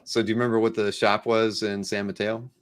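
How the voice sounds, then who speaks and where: A man's voice: on the low side, flat delivery, a little fast. A man hosting a casual live interview, chatting in a hesitant way with restarted questions. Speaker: interview host.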